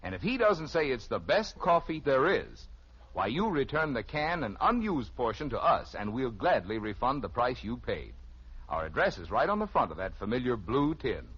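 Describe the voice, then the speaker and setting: A muffled vintage radio recording of a male commercial announcer. His husky, mid-pitched voice rises and falls dramatically in a folksy, emphatic 1950s sales pitch. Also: sing-song, normal speed, a bit bright.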